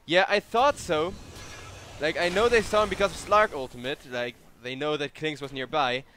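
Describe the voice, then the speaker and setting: A man's voice: fairly high pitch, normal speed, pushed and bright, slight background noise. A tired young man from Germany, casting a live game on his own and chatting to viewers between plays. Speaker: esports caster. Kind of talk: solo live game commentary.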